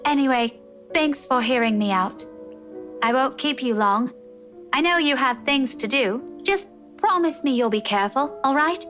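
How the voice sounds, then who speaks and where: A woman's voice: sits high, animated, normal speed, fairly bright tone, faint music behind. An actress playing a candid, teasing, caring female friend in a visual novel game. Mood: earnest, firm. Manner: frank, dryly teasing.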